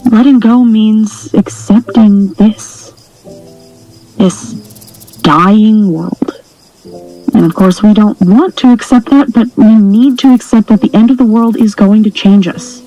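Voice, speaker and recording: A woman playing a steadfast, compassionate rancher and healer in an audio drama, speaking in an earnest, measured way. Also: medium pitch, dark tone, natural voice, poor audio.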